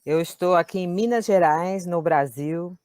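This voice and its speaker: A woman in her fifties who grew up in China and speaks Chinese as her first language, talking calmly and a little hesitantly as a panel guest. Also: lowish voice, a little slow, dry recording, clean studio sound. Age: 50s. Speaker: guest, interpreter. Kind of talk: casual panel conversation.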